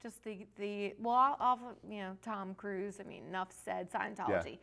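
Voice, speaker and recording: A woman's voice: medium pitch, animated, moderate pace, vocal fry, a bit bright. A woman guest on a talk show, chatting casually about films in an informal, rambling way.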